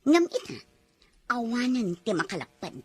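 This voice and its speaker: A woman's voice: a little high, very animated, moderate pace, a little mellow, husky. A woman voicing a cruel, scheming female employer who gives threatening orders to her maid in a radio drama. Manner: cold, commanding, threatening.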